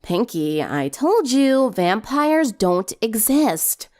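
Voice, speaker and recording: Woman as conflicted teen heroine for story audio, defensive, emphatic, lively intonation. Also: on the high side, slow pace, pitched above the performer's own voice. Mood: firm, exasperated. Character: conflicted, defensive, lovestruck teenage girl.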